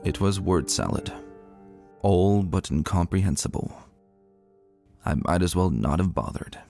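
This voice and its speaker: A man's voice: sits low, measured pace, vocal fry, mellow, soft background music. A man playing an earnest, self-deprecating wounded soldier prince, a stallion narrating his own dramatic story. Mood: reflective, measured.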